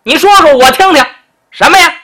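A storyteller voicing a brash noble man, the emperor's brother, who talks loudly and bossily in drawn-out questions. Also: very high-pitched, pitched above the performer's own voice. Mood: haughty, bossy. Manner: loud, bossy, drawn-out questions.

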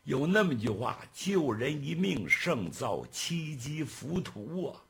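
A man's voice: on the high side, sing-song, slow, creaky, fairly bright tone, husky, full character voice. A man voicing a desperate, fawning male steward in a traditional storytelling piece, whining and stammering as he begs. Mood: pleading.

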